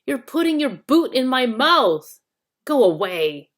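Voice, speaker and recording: A woman's voice: high-pitched, big pitch swings, takes it slowly, a bit bright, voice pushed up, heavily acted. Woman putting on a bellowing, indignant dwarf. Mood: angry. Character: gruff, irritable male dwarf, loudly grumbling.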